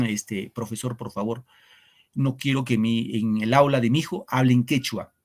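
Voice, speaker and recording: A man, teaching an online literature class to secondary students in an earnest, reflective way, often asking rhetorical questions. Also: medium pitch. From Peru.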